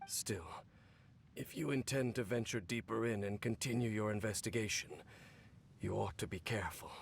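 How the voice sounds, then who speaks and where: A man playing a stoic, weary knight captain in a video game, speaking solemnly with a restrained, measured, breathy delivery. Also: on the low side, a little hiss or hum. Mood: grave, weary. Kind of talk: video game character acting.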